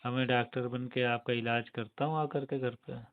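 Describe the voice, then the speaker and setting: Smooth, insinuating local doctor, played by a man. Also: medium pitch, little pitch movement, normal speed, phone-quality sound, lightly acted. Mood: sly, suggestive. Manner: colloquial, reassuring, insinuating. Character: male local doctor, reassuring, slyly suggestive. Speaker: doctor advising a patient. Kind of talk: staged romantic phone-call roleplay.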